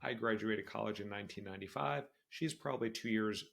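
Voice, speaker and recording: A man's voice: fairly low pitch, fairly quick, creaky, fairly bright tone. A man in his forties hosting a solo career podcast, talking candidly and conversationally and repeating phrases for emphasis. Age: 40s. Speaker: podcast host. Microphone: screen-sharing video podcast setup.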